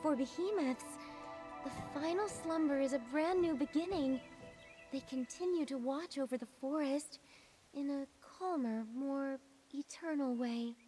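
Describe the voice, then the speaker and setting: A woman playing a gentle, poetic wandering bard in a video game, speaking in a lyrical, unhurried storytelling manner. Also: high voice, faint music behind. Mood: wistful, tender. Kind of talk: scripted video game voice acting.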